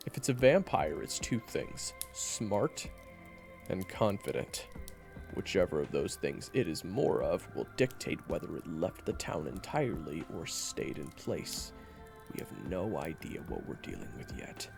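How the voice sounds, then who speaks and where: A man acting a gruff, cocky young monster hunter in a fantasy audio drama, blunt and sneering. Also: low voice, very animated, a little slow, a little mellow, soft background music, exaggerated voice.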